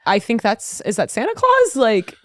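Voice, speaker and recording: Young woman podcast guest, dry close mic, meandering deadpan storyteller. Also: on the high side, moderate pace, no echo. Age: young adult. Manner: rambling, filler-heavy, deadpan jokes. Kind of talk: casual chat.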